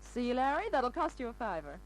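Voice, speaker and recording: A woman's voice: on the high side, very animated, fairly quick, soft background music. An actress playing a savvy, knowing gambling-den woman, in an old-time radio crime drama. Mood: breezy. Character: savvy gambling-den woman calming hot-headed men. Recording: humming, noisy old radio recording.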